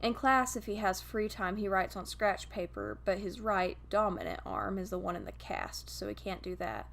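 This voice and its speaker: A woman's voice: mid-range voice, animated, normal speed, gravelly, fairly bright tone. A woman reading a scripted story aloud as narrator. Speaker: podfic reader.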